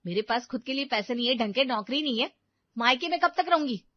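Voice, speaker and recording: A woman's voice: sits high, animated, pitched above the performer's own voice. A woman voicing a frustrated young mother who argues sharply with her husband, in a storybook. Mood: bitter. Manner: sharp, rushed complaining. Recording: dull dry narration track.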